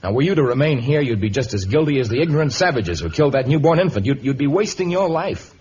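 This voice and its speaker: An actor playing an earnest, protective jungle hero with a measured, melodramatic delivery in an old radio serial. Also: male voice, mid-range voice, animated, a little mellow, lightly acted. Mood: earnest, consoling. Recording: muffled vintage radio recording, background din.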